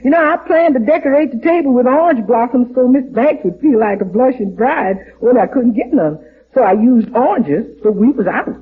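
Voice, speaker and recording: An actress playing a cheerful, wisecracking housekeeper and cook, in the theatrical style of 1940s radio comedy. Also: female voice, fairly high pitch, lively intonation, normal speed, natural voice. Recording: tinny, narrow, hissy old radio recording.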